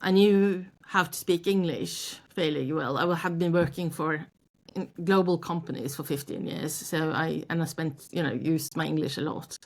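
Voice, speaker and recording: A woman from Norway speaks English with a Norwegian accent in a slightly low voice. She tells her story in a loose, conversational way, often restarting and rephrasing, and grows animated when she quotes others. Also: moderate pace. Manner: frequent restarts and self-corrections, animated when quoting. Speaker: guest, knitwear designer.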